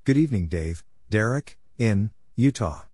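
A man narrating a practice lesson, reading call signs and short phrases in a clipped, deliberate way. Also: lowish voice, animated, mellow, slight background noise. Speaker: Morse code practice narrator.

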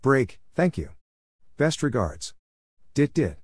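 A man narrating scripted radio exchanges for Morse code practice, reading in a measured way and spelling out call signs letter by letter. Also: medium pitch, big pitch swings, slightly rough.

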